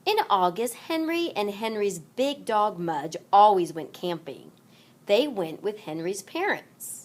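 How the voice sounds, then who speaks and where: A woman reads a children's story aloud at an unhurried pace. Her medium-pitched voice rises and falls in a lively way. The delivery is deliberate and expressive, suited to young listeners following along.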